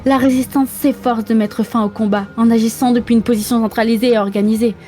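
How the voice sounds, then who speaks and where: A woman plays a young female cartoon hedgehog leader from a comic dub. Her voice is high and rounded, not sharp, with an earnest, emphatic, take-charge delivery. Faint music runs underneath. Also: steady tone, fast talker, close to an everyday voice. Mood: earnest, exasperated. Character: determined, spirited young female hedgehog commander. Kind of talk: French fan comic dub.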